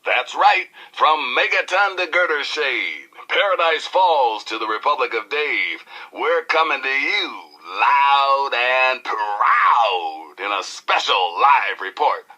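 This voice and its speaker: An actor playing a hip, irreverent post-apocalyptic radio DJ with flamboyant, slangy, playful patter. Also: male voice, medium pitch, lively intonation, slow pace, few pauses, pushed and bright, full character voice, rough recording. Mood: exuberant. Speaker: radio disc jockey.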